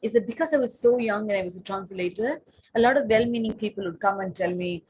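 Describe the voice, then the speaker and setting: A woman's voice: medium pitch, quick pace, darkish tone, husky, poor audio. A woman in her late thirties, a Tamil native speaker, answering on a panel as a writer and translator, thoughtful, with many fillers and restarts.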